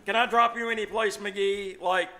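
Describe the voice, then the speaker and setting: A man's voice: high voice, steady tone, moderate pace, bright, forceful tone. A man playing a wry, teasing veteran small-town doctor in a live old-time radio comedy. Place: live stage performance at a venue.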